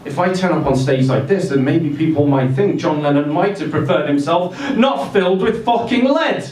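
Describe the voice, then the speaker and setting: A man's voice: on the high side, expressive, normal speed, a little mellow, voice pushed up, lightly acted. A man voicing a grumpy, sardonic dead rock legend from Liverpool, with a nasal Scouse accent and sweary ranting, in a live story reading. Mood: bitter. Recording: roomy distant live-event recording, noisy.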